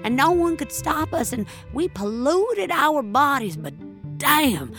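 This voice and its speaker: A woman's voice: sits high, big pitch swings, slow pace, fairly bright tone, faint music behind, pitched above the performer's own voice, heavily acted. A woman playing an angry, nostalgic elderly woman in an audio drama, speaking emphatically and wistfully with plenty of swearing. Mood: wistful, impassioned. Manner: emphatic, wistful, foul-mouthed. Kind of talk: dramatic audio fiction monologue.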